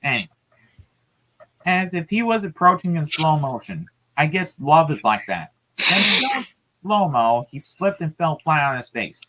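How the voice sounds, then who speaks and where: Story reader and host: animated, very varied pitch, informal dramatic delivery. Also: measured pace, low-quality recording. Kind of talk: storybook read-aloud with casual asides.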